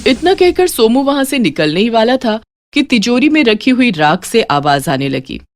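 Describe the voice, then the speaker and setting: A woman's voice: a little high, sing-song, moderate pace. A woman narrating a scripted children's moral story. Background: music.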